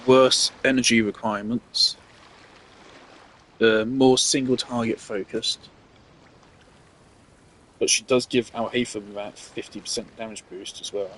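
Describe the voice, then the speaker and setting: A man giving casual gameplay commentary, thinking out loud with fillers and the odd swear. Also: medium pitch, steady tone, unhurried, long pauses, slight background noise. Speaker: gamer testing team setups.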